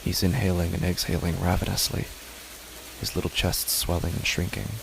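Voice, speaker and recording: A man narrating a first-person horror story in a slow, measured, hushed way over loud background music. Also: low voice, level tone, vocal fry, background noise. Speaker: narrator and podcast host.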